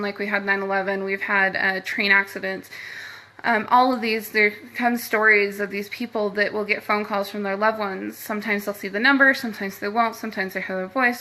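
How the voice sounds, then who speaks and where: A woman's voice: mid-range voice, pushed and bright, low-quality recording. A middle-aged American woman giving a casual update to her followers, chatty and informal, rambling from point to point. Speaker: paranormal team host.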